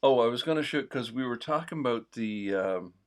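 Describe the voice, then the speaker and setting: A man with a mild Newfoundland accent, hosting a livestream in a casual, rambling, digressive chat full of pauses. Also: mid-range voice, animated. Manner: digressive, with many pauses and fillers. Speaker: host of a livestream.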